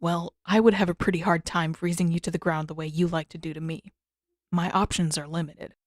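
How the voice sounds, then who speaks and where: Deadpan, wry teenage boy for audiobook, played by a woman; lower register, dry recording. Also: fairly quick, no room sound, lightly acted. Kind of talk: audiobook character acting.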